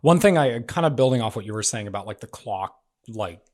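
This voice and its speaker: A man's voice: fairly low pitch, expressive, brisk. A man casually discussing a script, circling around his point and peppering it with "like". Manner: hesitant, circling phrasing peppered with "like".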